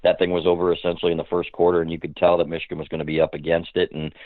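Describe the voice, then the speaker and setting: A male sports pundit talks quickly and bluntly in a low, fairly flat voice. He sounds casual, coming through a narrow phone line. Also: dry recording. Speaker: sports editor, podcast guest. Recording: dry, tinny phone line.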